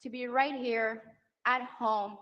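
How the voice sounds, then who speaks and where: A woman's voice: a little high, fairly even intonation, takes it slowly, muffled recording. A woman, speaking emotionally into a handheld mic at a large outdoor rally and building to rousing applause lines. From Venezuela. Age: 30s. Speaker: political spouse introducing candidate. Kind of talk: rally speech.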